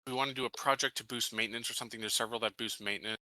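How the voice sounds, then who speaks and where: A man at a tabletop game, running quickly through game stats in a practical way. His voice is lowish yet sharp and tense with a very bright edge. It is recorded dry and close. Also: steady tone, no room sound, rough recording. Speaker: player. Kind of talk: casual tabletop game chat.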